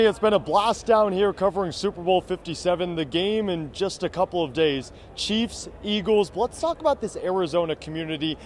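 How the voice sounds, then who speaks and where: A man's voice: sits high, darkish tone, a little hiss or hum. A man delivering a scripted live television sports report from outdoors on location.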